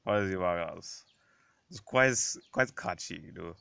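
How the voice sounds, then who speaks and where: Male co-host, very deep, gravelly from a cold, deadpan. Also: normal speed, no echo. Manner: dry, deadpan banter. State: has a cold. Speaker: podcast co-host. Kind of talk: casual chat.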